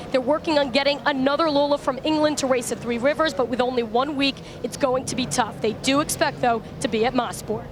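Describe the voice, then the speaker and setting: High-voiced female pit reporter. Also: background noise. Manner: clear, factual reporting. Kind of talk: news-style pit report.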